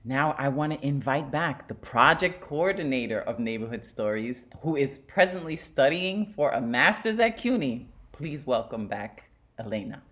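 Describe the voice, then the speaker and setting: A woman hosting an online open mic over a video call, effusive and excitable, laughing often. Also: extremely low pitch, moderate pace, hardly any pauses.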